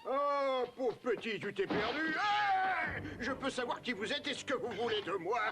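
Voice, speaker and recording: A man playing a hearty, good-natured big outlaw in a cartoon, blustery and expressive. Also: extremely high pitch, measured pace, heavily acted. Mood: puzzled, hearty. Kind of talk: animated film dubbing. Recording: loud music under the dialogue.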